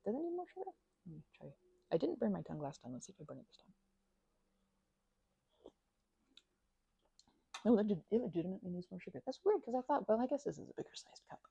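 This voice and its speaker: A woman playing a goofy, caring, scatterbrained best friend in a casual ASMR roleplay, with soft, rambling, halting speech. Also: medium pitch, big pitch swings, vocal fry, breathy edge, no echo, full character voice. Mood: musing, playful. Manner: rambling, halting, self-interrupting, giggly.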